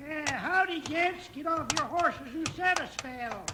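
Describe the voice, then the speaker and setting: Folksy old desert hermit, very high sharp voice, swooping delivery, 1950s western radio. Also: male voice, animated, unhurried. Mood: crotchety, folksy. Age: older. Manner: folksy, rambling, mishearing, chuckling frontier talk. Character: cheerful old hard-of-hearing prospector hermit, rambling and cantankerous. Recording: noisy vintage 1950s radio recording.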